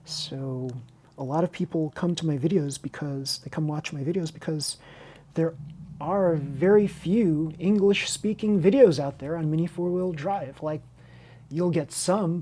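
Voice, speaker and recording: Husky woman chatting through a hobby build. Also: fairly low pitch, unhurried, warm tone. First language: Chinese. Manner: rambling, candid, self-interrupting. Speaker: hobby video host and teacher. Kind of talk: unscripted casual chat over a model car build tutorial.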